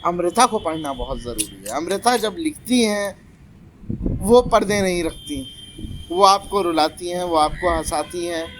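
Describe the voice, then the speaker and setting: High-voiced man in passionate literary chat. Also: lively intonation, unhurried, a bit bright, a little hiss or hum, rough recording. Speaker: panelist on a poetry talk show. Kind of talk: casual literary discussion.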